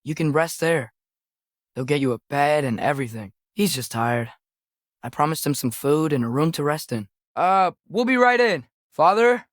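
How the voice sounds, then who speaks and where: A man's voice: a little high, no room sound. Game role: eager, sincere teen boy, godly lineage, youthful and conversational, close dry sound. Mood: subdued, friendly. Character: earnest, eager teenage boy adventurer, son of a god. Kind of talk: video game character acting.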